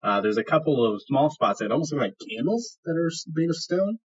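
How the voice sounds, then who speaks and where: A man's voice: a little high, very animated, a little fast, husky. A man working as game master, narrating a tabletop roleplaying game in an improvised, conversational way. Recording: dull lo-fi sound.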